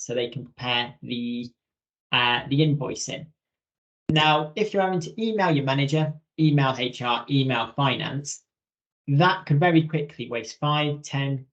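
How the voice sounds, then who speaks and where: A man presenting practical software tips in a conversational webinar style. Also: medium pitch. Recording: dull lo-fi webinar audio.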